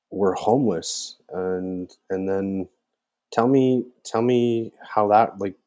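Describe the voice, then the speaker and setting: A man's voice: lowish voice, mellow. A man hosting a podcast, asking questions in a hesitant way with many fillers and restarts. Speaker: podcast host. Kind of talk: casual interview.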